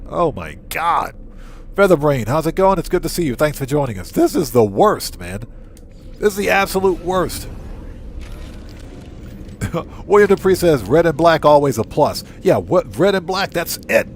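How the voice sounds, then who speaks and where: A man with a somewhat high voice hosts a casual gameplay livestream. His intonation swings widely as he comments on play, speaking in exclamations and repeating short phrases for emphasis. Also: big pitch swings, moderate pace, long pauses, a little hiss or hum. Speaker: livestream host.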